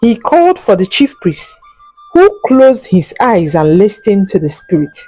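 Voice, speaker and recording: A woman narrating a scripted folk tale in a solemn, deliberate and dramatic storytelling manner. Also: mid-range voice, sing-song, dark tone, breathy edge, no room sound, soft background music, low-quality recording.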